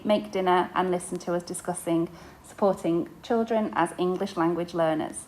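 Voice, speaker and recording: A young woman teacher talks parents through a school presentation in an easy podcast manner. She explains step by step, often pausing on fillers and restarting phrases. Her pitch sits in a middle range for a woman. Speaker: inclusion teacher.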